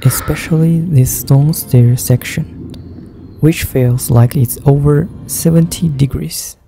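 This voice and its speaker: A man narrates a travel vlog in a slow, unhurried voice-over. His tone is deep, dark and mellow, with a gravelly edge. He speaks careful, measured learner's English over soft background music. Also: steady tone, a little hiss or hum. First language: Japanese. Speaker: travel vlogger.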